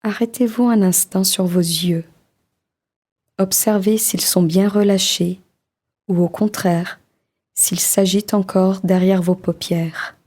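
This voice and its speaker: A woman leading a guided body-scan meditation in a slow, soft, soothing voice with long pauses. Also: mid-range voice, steady tone.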